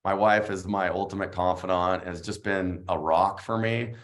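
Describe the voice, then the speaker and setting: Earnest reflective man, somewhat low voice. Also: steady tone, moderate pace. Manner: reflective, earnest, with frequent fillers. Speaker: guest, real estate agent. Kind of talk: casual interview chat.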